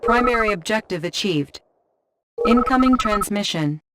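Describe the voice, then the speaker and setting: A woman's voice: medium pitch, animated, normal speed, heavy background noise, lightly acted. A woman voicing a detached battlefield announcer, giving clipped, impersonal status alerts in a video game.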